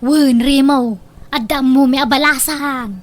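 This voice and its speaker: A high-pitched, outspoken older sister played by a woman in an Ilocano comedy radio drama. She teases and prods in an Ilocano accent, with faint noise behind her. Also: fairly even intonation, unhurried. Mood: nagging, teasing. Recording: faint background noise and ambience.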